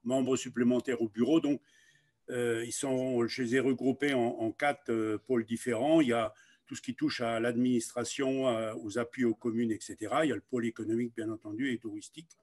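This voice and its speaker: A man, a local official, presenting informally in an online meeting in a deliberate, halting way with frequent pauses. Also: mid-range voice, steady tone, a little mellow.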